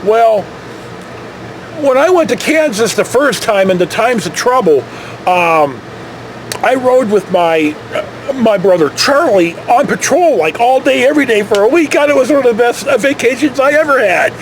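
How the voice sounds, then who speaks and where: A man's voice: very high-pitched, animated, normal speed, slight background noise, rough recording. An American man from California tells a casual, rambling anecdote in a folksy, digressive, mildly profane way. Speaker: storytelling vlogger.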